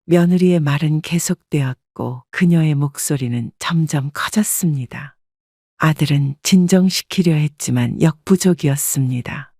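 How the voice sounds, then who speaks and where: A woman narrating a scripted first-person family drama in a calm, even storytelling manner. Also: low-pitched, measured pace, no room sound, studio quality.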